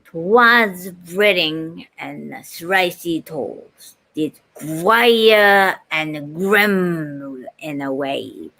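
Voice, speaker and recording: A woman with a Japanese accent performing as a dramatic storyteller, reciting a heroic fantasy poem in a drawn-out, emphatic way. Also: sits low, full character voice. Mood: solemn. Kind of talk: theatrical poetry recitation.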